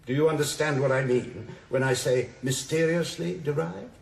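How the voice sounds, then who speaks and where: An actor playing a sardonic, needling defence barrister who questions formally and insistently in a radio drama. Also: male voice, on the high side, normal speed, vocal fry, reverberant. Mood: sardonic, pressing. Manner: formal, theatrical, insistent cross-examination with mock courtesy.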